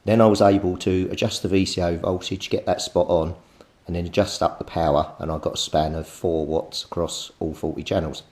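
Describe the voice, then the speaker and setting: A man with a somewhat low voice talks through a repair at his home workbench. He is plain and methodical and thinks aloud as he goes, with the odd filler. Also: flat delivery, brisk, darkish tone, no room sound. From Britain. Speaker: radio repair hobbyist. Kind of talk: technical repair walkthrough.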